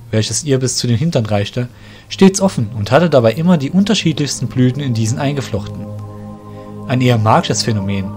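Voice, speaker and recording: A man's voice: mid-range voice, darkish tone. Male fantasy storyteller over music. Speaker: narrator. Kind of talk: scripted fantasy parody storytelling.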